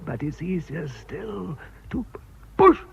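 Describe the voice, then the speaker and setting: A man's voice: high voice, big pitch swings, takes it slowly, gravelly, full character voice. An actor playing a ruthless, desperate man with a false identity in a radio drama, taunting and theatrical. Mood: menacing, taunting. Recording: dull, noisy radio drama recording.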